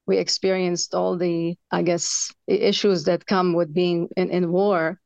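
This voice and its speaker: A woman in her forties, from Lebanon, telling her personal story in an interview, earnest and halting, with many fillers. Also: lowish voice, flat delivery, creaky, darkish tone, dry recording, highs cut off. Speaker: author guest.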